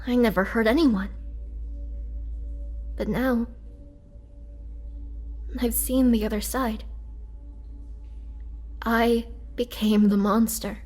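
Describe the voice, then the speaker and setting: A woman playing a gentle, remorseful young vampire in an intimate roleplay audio drama, speaking softly and earnestly with halting, sometimes whispered delivery. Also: on the high side, steady tone, soft background music. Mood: remorseful, anguished.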